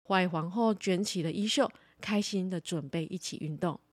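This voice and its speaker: A woman telling a story for children as a podcast host, in an animated storytelling style. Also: lowish voice, moderate pace, no room sound.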